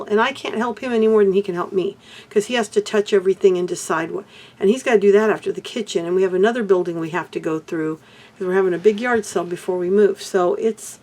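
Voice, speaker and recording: An older woman from Texas, hoarse, chatting casually to camera in a rambling way about her crafts and life. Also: mid-range voice, darkish tone. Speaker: beadwork vlogger.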